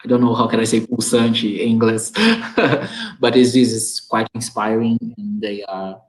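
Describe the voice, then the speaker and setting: Brazilian guy hosting a video call from home, lowish voice, hunts for english words, laughs a lot. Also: poor audio. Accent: Brazilian Portuguese. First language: Portuguese. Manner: halting English, searches for words, laughs often. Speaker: host. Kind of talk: online conversation, relaying audience comments.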